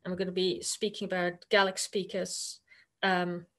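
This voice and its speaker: A woman's voice: lowish voice, level tone, moderate pace, a bit bright. A woman with a Dutch accent, Dutch her first language, giving an academic conference presentation over a video call. She talks in a conversational, hesitant way, with many fillers.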